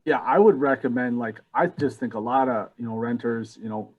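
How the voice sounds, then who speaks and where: Muffled, lo-fi audio of a man with a middling pitch. He talks casually and off the cuff at a forum, loose and colloquial, with lots of filler words. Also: moderate pace, husky. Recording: dull, lo-fi audio.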